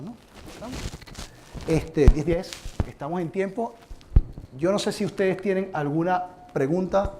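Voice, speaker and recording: Seminar presenter, male, from Venezuela; slightly raised pitch, earnest advisory delivery over a noisy room recording. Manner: earnest, advising, addresses the audience directly. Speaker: conference presenter. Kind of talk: live seminar talk with audience questions.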